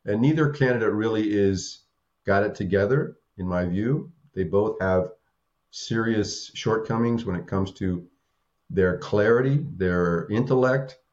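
A slightly muffled, lo-fi recording of a man in his early seventies with an American accent. His voice is on the low side, and he gives his views as a voter in an informal interview. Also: measured pace, a little mellow. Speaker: voter giving opinion. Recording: slightly dull, lo-fi sound.

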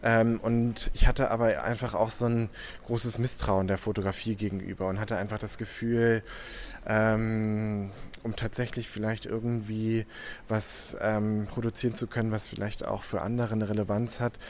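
A man's voice: on the low side, flat delivery, moderate pace, slight background noise. A young man from Hamburg, an artist, answering interview questions hesitantly with many filler sounds. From Hamburg, Germany.

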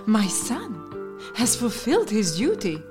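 A woman's voice: on the high side, huge pitch swings, a little slow. A woman playing a poor, weary, dutiful peasant mother in a folktale radio play. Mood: dutiful, formal. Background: music.

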